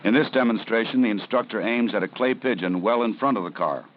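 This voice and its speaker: A man narrating a 1970s police training film in a measured, serious, instructive way. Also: on the low side. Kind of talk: scripted documentary narration. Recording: dull 1970s film soundtrack with faint hiss.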